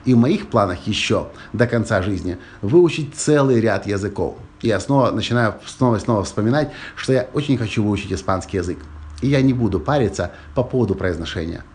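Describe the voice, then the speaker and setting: A man's voice: lowish voice, expressive, fairly quick, a little mellow, a little hiss or hum. A man with a Russian-Ukrainian accent hosting a motivational podcast, talking straight to listeners and repeating his key phrases for emphasis. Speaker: podcast host.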